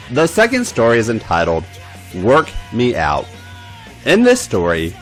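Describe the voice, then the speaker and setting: Man reading with sweeping, animated pitch. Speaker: podcast host and story reader. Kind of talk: scripted narration.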